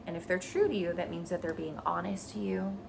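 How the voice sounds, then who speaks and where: A woman teacher reading a children's story aloud expressively, pausing to explain things to young listeners. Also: lowish voice, steady tone, vocal fry, background noise.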